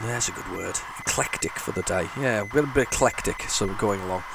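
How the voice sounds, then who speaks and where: A man hosting a retro gaming video, talking casually while loading old tapes, with a head cold and a rambling, restart-filled way of talking that jumps into excited bursts. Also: fairly low pitch, brisk, gravelly, noisy background. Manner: rambling, chatty, lots of restarts and sudden excited outbursts. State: has a head cold, sniffly.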